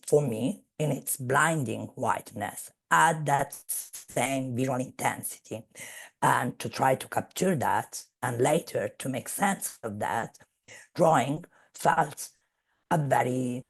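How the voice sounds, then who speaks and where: Woman speaker on a video call, slow and measured, gravelly and husky. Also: rumbling low. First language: Italian. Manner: measured, searching for words. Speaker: guest speaker. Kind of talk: academic webinar talk.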